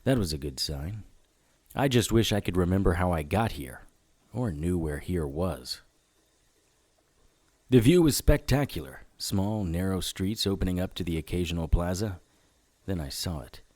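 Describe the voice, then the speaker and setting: A low, close and dry voice of a weary noir investigator. He narrates his own story in a wry, hard-boiled audio-drama style. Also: expressive, moderate pace, darkish tone, no room sound. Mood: wary, wry. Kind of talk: first-person scripted drama narration.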